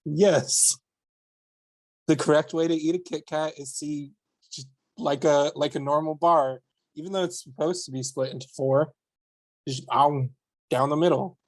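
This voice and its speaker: A man in his late twenties chats as a podcast guest, joking dryly at his own expense. His voice sits a little high for a man. The recording is dry and close. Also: steady tone, moderate pace, pauses often, no echo, poor audio. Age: 20s. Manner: dry, self-mocking humor. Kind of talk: casual chat.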